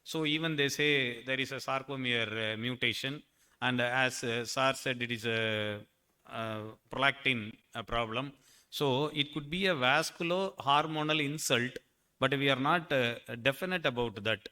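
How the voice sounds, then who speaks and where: A man's voice: mid-range voice, moderate pace, pushed and bright, clean tone. A man moderates a medical conference panel, firing questions at the panelists and slipping in wry jokes.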